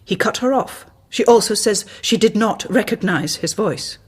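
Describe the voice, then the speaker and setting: An actress in a radio drama playing a cautious, earnest hospital colleague who speaks formally and precisely, with prim reproof. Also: female voice, medium pitch, normal speed, breathy edge. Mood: grave, urgent.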